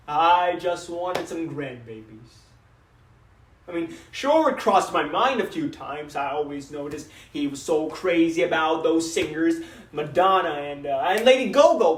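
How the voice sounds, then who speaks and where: Young-sounding man acting a high-voiced, emotive proud father. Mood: rueful. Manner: theatrical, emotive, with dramatic pauses and repeated phrases. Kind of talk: dramatic monologue performance. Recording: echoey room, distant microphone.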